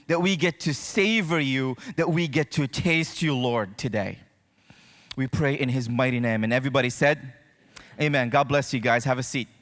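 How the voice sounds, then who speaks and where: Male preacher from Morocco, slightly high voice, conversational sermon delivery, big reverberant hall. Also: fairly bright tone. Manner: conversational, addresses listeners directly with check-in questions. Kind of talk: sermon to a congregation. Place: church auditorium, speaking to a congregation.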